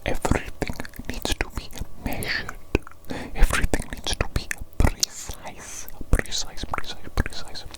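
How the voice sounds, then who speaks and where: A man in a whispered ASMR roleplay, playing a fussy, pedantic evil doctor who repeats himself slowly and precisely. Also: close to an everyday voice. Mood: fussy, coaxing. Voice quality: soft unvoiced whisper. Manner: slow, repetitive, fussy and precise.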